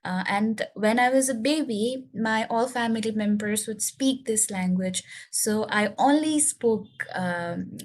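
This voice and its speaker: Earnest young Nepali woman, fairly high voice. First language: Newari. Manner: earnest, explanatory, frequent uh and um fillers. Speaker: speaker sharing her English learning story. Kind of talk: prepared personal talk to learners.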